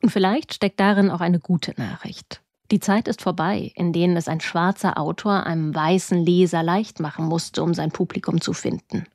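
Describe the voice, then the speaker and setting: A woman's voice: on the low side, fairly even intonation, normal speed, hardly any pauses, a little mellow, no echo, studio quality. A woman reading a scripted book review as a radio reporter. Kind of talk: scripted narration, literary review.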